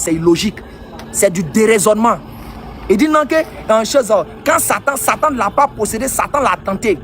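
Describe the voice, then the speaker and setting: A man's voice: high-pitched, a little hiss or hum. A man debating live online, sarcastic and mocking toward his opponent while addressing his viewers directly.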